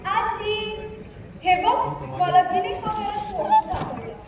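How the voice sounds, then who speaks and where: A woman's voice: extremely high pitch, sing-song, takes it slowly, full character voice. A woman acting in an amateur stage play as a member of a busy family household. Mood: excited, curious. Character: busy, fussing mother and her excited young daughter. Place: stage in a college hall. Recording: echoey hall, distant mic, crowd noise.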